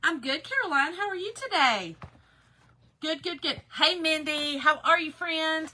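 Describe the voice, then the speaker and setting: A middle-aged woman from Georgia with a Southern accent hosts a live craft stream, greeting viewers in a folksy, chatty way. Her voice sits high and sounds very bright and forceful. It carries a slight hoarseness. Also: lively intonation, unhurried. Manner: folksy and chatty, calling viewers "girl" and "y'all". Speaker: craft livestream host.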